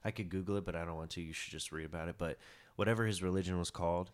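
Deep-voiced male podcast host, relaxed and musing, on a close studio mic. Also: rapid-fire. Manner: laid-back, musing, trails off into low mutters. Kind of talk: casual podcast conversation.

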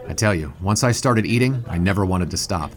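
A man playing a dry-witted, younger 1920s sportswriter in an audio drama, measured and wry. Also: low voice, expressive. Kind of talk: audio drama acting with first-person narration.

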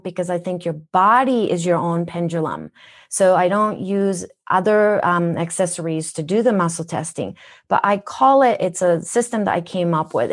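Lower-voiced woman with Minnesotan accent. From Japan. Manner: earnest, teacherly, rhetorical questions. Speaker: guest wellness practitioner. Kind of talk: podcast interview.

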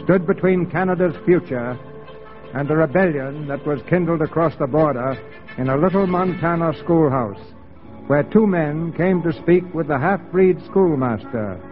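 Male radio-drama narrator, scripted and dramatic, lightly high register, lo-fi 1940s recording. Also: normal speed, soft background music.

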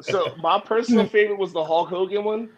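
A man's voice: sits high, a little fast, dull audio. A man co-hosting a podcast in casual banter, blunt, profane and teasing. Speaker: podcast co-host.

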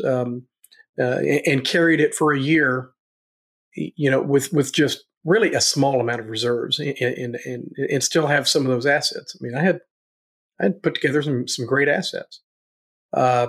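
A middle-aged man with a Southern US accent, a guest in an interview, talking earnestly and haltingly with many restarts. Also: a little high. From Tennessee, USA. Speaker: guest, real estate investor. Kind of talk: casual interview talk.

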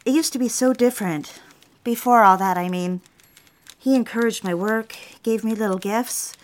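A woman playing an earnest, troubled young secretary in a period office drama, speaking politely and with measured care. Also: on the high side, natural voice. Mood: troubled, confiding. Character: troubled young secretary, earnest, wounded but standing her ground.

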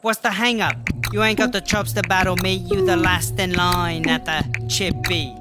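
Man as a very high-voiced cocky British female inmate. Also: steady tone, moderate pace, lightly acted. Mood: challenging. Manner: taunting, theatrical, slangy. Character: cocky, taunting female prison rap rival. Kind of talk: comedy audio drama acting. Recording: music behind the voice.

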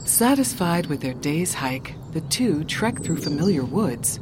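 A woman with a low voice narrates a scripted story. Her telling is measured and descriptive, set over clearly audible outdoor ambience. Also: big pitch swings, noisy background.

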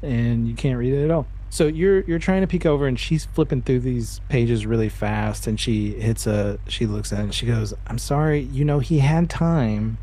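A man narrating a tabletop roleplaying game as game master, unhurried and descriptive, leaving pauses as he improvises. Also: mid-range voice, mellow.

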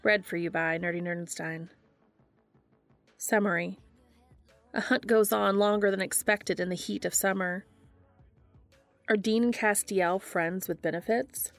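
A woman hosting a podcast, reading fan fiction aloud in a steady, even storytelling manner. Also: mid-range voice, a little slow, long pauses, dry recording, faint music behind. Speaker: podcast host and reader.

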